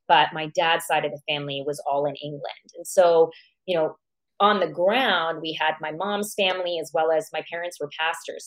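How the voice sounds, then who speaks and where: A woman's voice: sits low, rough recording. A woman born in Iran and raised in America, a psychologist guest, reflecting on her life and thinking aloud with questions to herself. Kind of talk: reflective personal storytelling.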